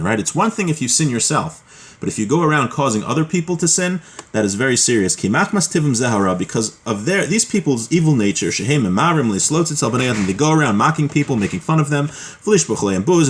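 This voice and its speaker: A man with a medium-pitched voice teaches a religious text class. He reads passages aloud and then explains them. His delivery is halting, with repeated words and restarts as he works through the material.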